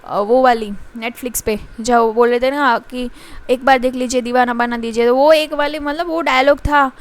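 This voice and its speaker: A woman teacher with an Indian accent giving a mixed-language lecture, pausing often with fillers and reading English passages slowly and carefully. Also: sits high, fairly even intonation. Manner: halting, frequent filler pauses and restarts.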